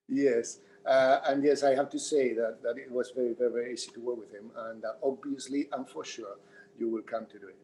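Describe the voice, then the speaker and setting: A middle-aged man with a Spanish accent, a theatre director answering in an unscripted Q&A, speaking English as a second language in halting, repeated phrases. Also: mid-range voice, steady tone, a little mellow, a little hiss or hum, rough recording. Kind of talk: unscripted panel Q&A talk.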